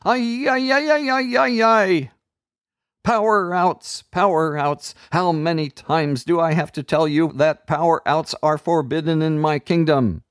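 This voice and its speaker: A man's voice: a little high, fairly even intonation, unhurried, hardly any pauses, dry recording, clean studio sound, pitched above the performer's own voice, lightly acted. A man voicing a tall, raging fire wizard who shrieks and gloats with menace. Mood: furious.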